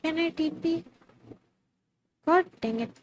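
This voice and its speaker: A woman's voice: very high-pitched, slow, faint music behind. A woman with an Indian accent, a game streamer giving casual commentary and reading along.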